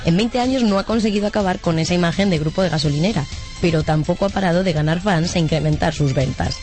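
Scripted radio report voice, teasing buildup, clear music underneath. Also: medium pitch, fast, a little mellow.

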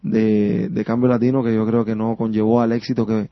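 A man's voice: fairly low pitch, flat delivery, moderate pace, no echo, dull audio. A man from Cuba with a Havana accent, a singer giving a chatty, rambling radio interview and often tagging on "sabes?". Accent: Havana Cuban Spanish. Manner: talkative, rambling, keeps asking "sabes?".

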